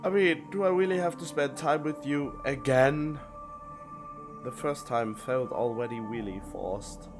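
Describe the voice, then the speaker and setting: A man's voice: mid-range voice, unhurried, music in the background. A man giving casual game-streaming reactions in a blunt, sardonic, skeptical way. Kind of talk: casual gameplay reaction commentary.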